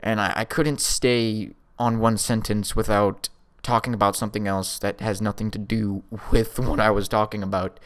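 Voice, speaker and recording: A man's voice: fairly low pitch, level tone, normal speed, hardly any pauses, clear, resonant tone. A young man casually telling a first-person story in a rambling, slangy way, often with a chuckle. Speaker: storyteller vlogger.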